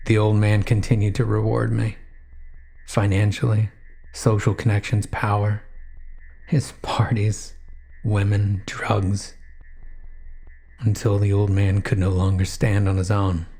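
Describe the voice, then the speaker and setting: A man narrating a first-person horror story in a grave, intense, dramatic way with drawn-out phrasing. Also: lowish voice, monotone, creaky, dark tone, husky.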